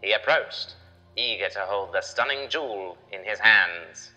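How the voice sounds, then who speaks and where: A man's voice: lowish voice, a little slow, pushed and bright, soft background music, poor audio. A man giving scripted, dramatic narration of a children's adventure story.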